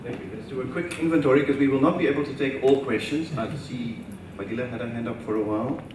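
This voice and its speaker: A man moderating a conference Q&A, courteously and briskly calling on questioners. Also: mid-range voice, steady tone. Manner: brisk, courteous, managing turns. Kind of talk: chairing a Q&A. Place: large conference hall. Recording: roomy hall, distant mic, noisy.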